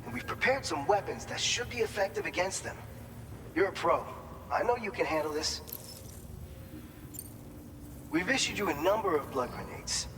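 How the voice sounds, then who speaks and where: Higher-voiced chatty staffer in reverberant hall. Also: male voice, lively intonation, fairly quick. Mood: encouraging, instructive. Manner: explanatory, informal, encouraging. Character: chatty, upbeat male distribution center staffer briefing a courier. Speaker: briefing officer. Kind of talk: video game cutscene dialogue. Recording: noisy ambience, long hall reverb.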